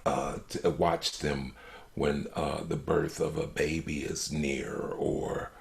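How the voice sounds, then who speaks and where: A man actor speaking reflectively in an interview, reverent and deliberate, with halting pauses between phrases. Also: rumbling low, flat delivery, vocal fry. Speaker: stage actor in an audio play.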